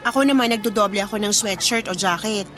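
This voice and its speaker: A woman acting a sensible, caring young fast-food worker and girlfriend, gentle and reasoning in radio drama dialogue. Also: medium pitch, soft background music, close to an everyday voice. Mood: matter-of-fact.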